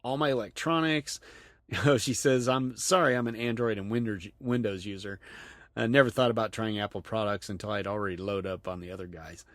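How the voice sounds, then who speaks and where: A man's voice: lowish voice, fairly even intonation, brisk, fairly bright tone, no echo. A middle-aged man hosting a podcast, casually reading listener emails aloud and chatting about them, laughing often. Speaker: podcast host.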